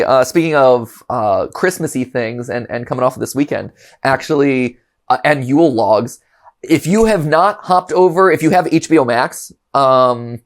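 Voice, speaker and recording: A man from Ohio in his thirties, hosting a casual reaction show and rambling animatedly, with frequent restarts and chuckles. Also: medium pitch. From Ohio, USA. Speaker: host.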